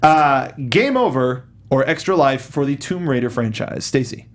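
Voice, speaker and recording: A man's voice: medium pitch, animated, moderate pace. A man hosting a casual movie panel, informal as he guides the discussion. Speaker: panel show host.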